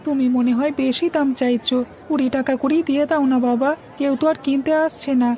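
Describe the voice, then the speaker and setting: A woman's voice: high voice, steady tone, fairly quick, dark tone, slight background noise, lightly acted. A woman voicing an innocent, stubborn young village boy in a cartoon, chattering in a childlike, plaintive way. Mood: pleading, sulky. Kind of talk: cartoon voice acting. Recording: music playing behind the voice.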